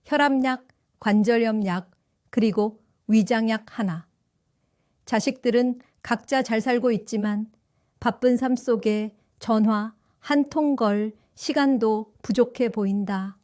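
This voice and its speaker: An older woman narrating her own story in an audio drama, calm and reflective. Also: a little high, a little slow, dry recording, clean studio sound. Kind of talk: scripted first-person audio drama narration.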